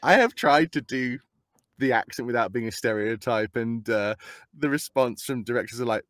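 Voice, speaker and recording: A man from the United Kingdom, guesting as a voice actor on a relaxed podcast. He talks in an animated way, laughs a lot, and pops into comic voices when he quotes people. His sound is dry and close to the mic. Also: medium pitch, moderate pace, no room sound. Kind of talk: casual podcast chat.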